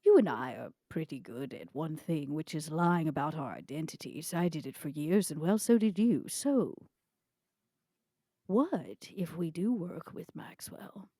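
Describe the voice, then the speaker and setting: Woman playing a low, mellow, scheming vampire schemer. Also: expressive, unhurried, dry recording, clean studio sound. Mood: sly, calculating. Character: scheming, haughty female vampire plotting a coup.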